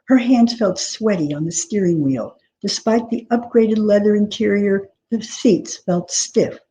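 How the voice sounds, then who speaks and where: Mellow woman reading literary fiction aloud. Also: mid-range voice, a little slow, rough recording. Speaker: author reading from their novel. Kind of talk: literary reading, scripted narration. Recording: clear background noise.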